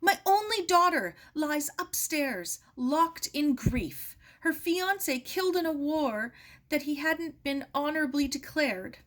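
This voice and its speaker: Female narrator as grieving father, bitter, irritable, emphatic, raised pitch, storybook character. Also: lively intonation, slow, a bit bright, heavily acted. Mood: anguished, furious.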